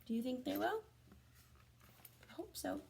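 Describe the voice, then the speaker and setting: A woman reading a storybook aloud to children, adding gentle chatty comments and questions for young listeners. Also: high-pitched, animated, a little slow, vocal fry, a little hiss or hum. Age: adult.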